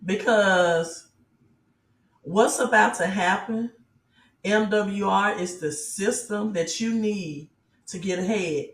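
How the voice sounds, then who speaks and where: A woman giving an off-the-cuff motivational sales pitch as a business promoter, emphatic, repeating key phrases and asking rhetorical questions. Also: takes it slowly, roomy sound. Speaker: business promoter and team leader giving an online presentation.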